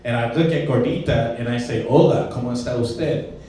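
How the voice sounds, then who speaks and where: A young man performing a stand-up comedy set, telling stories with animated put-on voices. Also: mid-range voice, fairly quick, a little hiss or hum. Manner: animated storytelling with put-on voices for friends and coworkers. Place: comedy club stage. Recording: roomy, distant mic in a club.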